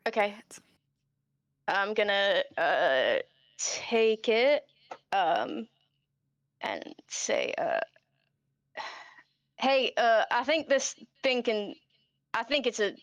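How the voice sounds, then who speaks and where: A woman with a mid-pitched voice in a dry, close recording. She talks casually as a tabletop player, feeling her way through each move. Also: big pitch swings, very slow, long pauses, dry recording, muffled recording. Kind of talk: casual game chat.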